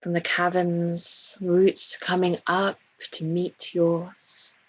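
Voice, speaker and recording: A woman leading a guided meditation in a soft, breathy voice, soothing and hushed, with long pauses between phrases. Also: lowish voice, monotone, poor audio.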